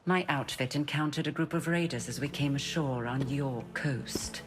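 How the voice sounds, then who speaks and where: Someone plays a cold, condescending inquisitor of the church in a video game, measured and quietly menacing. Clear music plays behind. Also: medium pitch, lightly acted.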